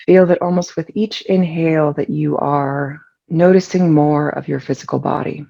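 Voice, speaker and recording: Gravelly, mellow meditation guide with vocal fry. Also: mid-range voice, steady tone, dull audio. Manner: soothing, unhurried with long pauses.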